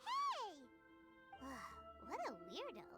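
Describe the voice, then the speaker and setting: A woman voicing a tiny, excitable fairy companion girl in a video game, with a squeaky childlike voice and a blunt manner. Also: takes it slowly, exaggerated voice. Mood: exasperated. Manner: exclaiming, speaks of herself in third person. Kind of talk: video game character acting. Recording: music behind the voice.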